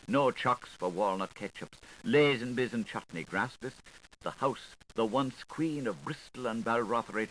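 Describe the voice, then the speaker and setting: A man reading a literary novel aloud as an audiobook, with a lilting, highly varied, expressive delivery. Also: rough recording. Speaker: audiobook reader. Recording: faint tape hiss, 1980s recording.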